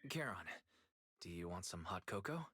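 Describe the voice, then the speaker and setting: An actor playing a kindly, attentive caretaker in a video game, speaking in a soothing way. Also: male voice, deep voice, sing-song, moderate pace, pushed and bright, husky, full character voice. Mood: kindly concern. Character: kindly, attentive male caretaker offering comfort.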